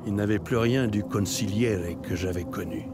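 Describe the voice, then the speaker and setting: An actor playing a weary, ruthless aging mafia godfather, narrating his crime drama in a bitter, confessional way with a gravelly voice. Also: lowish voice, moderate pace, close to an everyday voice. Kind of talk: brooding first-person crime drama narration. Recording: loud music under the voice.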